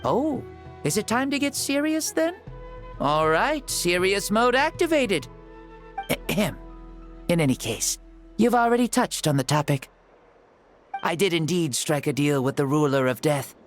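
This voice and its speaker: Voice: mid-range voice, sing-song, unhurried, long pauses, soft background music. An actor playing a spirited ancient hero living in a borrowed young body, with a playful, theatrical way of talking in a video game. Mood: playfully mock-serious. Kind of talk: scripted video game dialogue.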